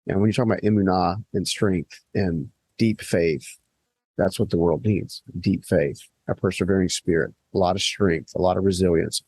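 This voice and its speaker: A man with a low, gravelly and husky voice hosts a studio podcast interview. He talks earnestly and conversationally, piling his ideas into long lists full of fillers. The sound is close and dry. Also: steady tone, normal speed, darkish tone, dry recording, rough recording. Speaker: podcast host. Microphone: studio microphone.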